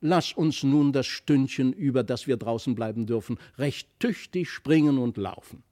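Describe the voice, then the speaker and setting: A man's voice: mid-range voice, moderate pace, mellow, close to an everyday voice. A man voicing an earnest, sometimes grumbly young country boy in a storybook reading. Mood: eager, restless.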